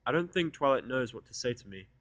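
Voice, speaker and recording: A man's voice: mid-range voice, lively intonation, fast, a bit bright, no echo. A man narrating first-person fiction with a sardonic, irritable delivery. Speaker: audiobook narrator.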